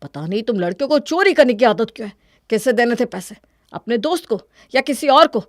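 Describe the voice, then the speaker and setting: A woman's voice: a little high, animated, brisk, no echo, clean studio sound, natural voice. A woman voicing a suspicious, bitter young husband in a cartoon family story. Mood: accusing. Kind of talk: Hindi cartoon moral-story voice acting.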